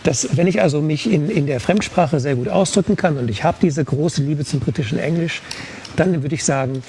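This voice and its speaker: Higher-pitched man explaining, with background noise. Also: moderate pace, darkish tone. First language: German. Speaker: volunteer interpreter on a discussion panel. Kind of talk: unscripted explanatory talk.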